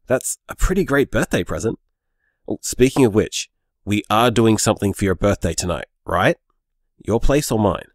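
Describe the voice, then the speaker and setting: A man's voice: fairly low pitch, expressive, moderate pace, dry recording, clean studio sound. A man playing an easygoing, sceptical young boyfriend in a naturalistic audio drama, conversational and hesitant. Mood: hesitant, warm. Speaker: podcast drama actor.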